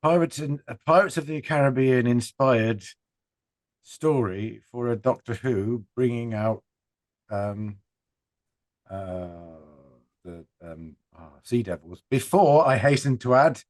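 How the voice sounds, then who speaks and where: A man chatting casually as a panel guest, with a jokey, self-deprecating, animated manner. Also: medium pitch, slow pace, no room sound. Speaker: audio drama writer and panel guest. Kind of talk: casual group chat.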